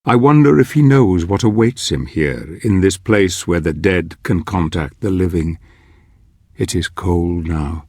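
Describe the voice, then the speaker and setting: An actor playing a brooding, resolute watcher, giving theatrical, ominous narration in a fantasy video game. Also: male voice, sits low, animated, a little slow, darkish tone, dry recording, clean studio sound, full character voice. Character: brooding male watcher narrating a hero's fate, grave and resolute. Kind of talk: dramatic scripted game narration.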